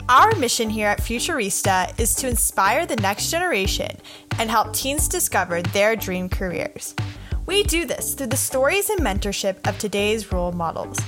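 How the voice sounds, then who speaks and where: Lively young woman hosting, soft music behind. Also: a little high, normal speed. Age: young adult. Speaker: podcast co-host. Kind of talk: scripted podcast intro.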